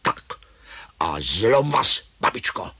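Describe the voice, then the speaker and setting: A man's voice: on the low side, big pitch swings, bright, forceful tone, slightly rough, no room sound, exaggerated voice. A man voicing a plain-spoken, kindly old grandfather in a children's story. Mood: encouraging. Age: older.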